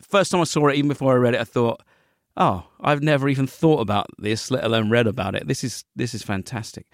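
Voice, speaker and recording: A man's voice: medium pitch, fast talker, no echo, studio quality. A man hosting a casual podcast interview, joking about himself as he goes. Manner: jokey, self-deprecating. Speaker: podcast host.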